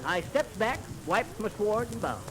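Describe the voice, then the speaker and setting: A high-pitched American boastful teller of tall tales in a 1930s radio comedy. His folksy delivery swoops theatrically through every brag, over a noisy vintage recording. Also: sing-song, a little slow. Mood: mock heroic. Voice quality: folksy, colloquial diction. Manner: bragging, theatrical storytelling.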